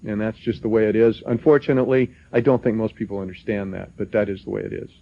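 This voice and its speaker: A man's voice: fairly low pitch, fairly even intonation, fast, creaky. A man speaking as a seminar panelist, giving plain-spoken, cautionary advice in an emphatic way. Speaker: legal researcher on a seminar panel. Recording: muffled lo-fi broadcast recording.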